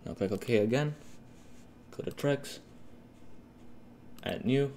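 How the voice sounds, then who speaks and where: A man hosting a casual step-by-step software tutorial, talking informally and a little haltingly, as a host guiding viewers. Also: mid-range voice. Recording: steady noise behind the voice.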